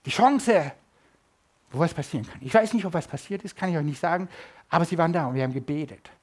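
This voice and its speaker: A middle-aged man from Germany, preaching a sermon at a church service and swinging between loud emphasis and quiet asides. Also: fairly high pitch, quick pace.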